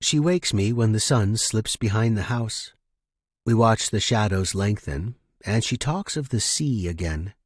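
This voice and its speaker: A man reading first-person fiction as a narrator, in a measured, deliberate and dramatic way. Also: fairly low pitch, animated, no echo, studio quality. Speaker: story narrator.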